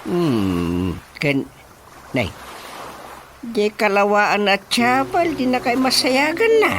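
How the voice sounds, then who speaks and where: Slow-talking Ilocano old father, animated pitch. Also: slight background noise, exaggerated voice. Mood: stern, inspecting. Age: older. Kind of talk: radio drama acting. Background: background music.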